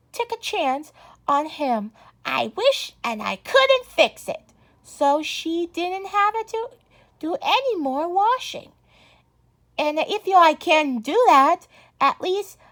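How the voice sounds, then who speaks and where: A woman reading a children's story aloud as an amateur, haltingly and stumbling over words. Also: very high voice. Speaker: storybook reader.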